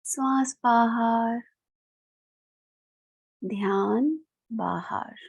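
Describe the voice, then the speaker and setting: A woman with an Indian accent leads a guided meditation in a calm, soothing manner, leaving long pauses. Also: fairly high pitch, gravelly, husky. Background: background music.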